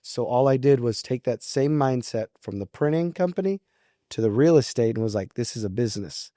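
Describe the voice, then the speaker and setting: A man's voice: mid-range voice, animated, fairly quick, mellow, no echo, studio quality. A man in his early twenties talking casually as an interview guest, polite and informal as he explains his approach. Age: early 20s. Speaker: podcast guest, real estate agent.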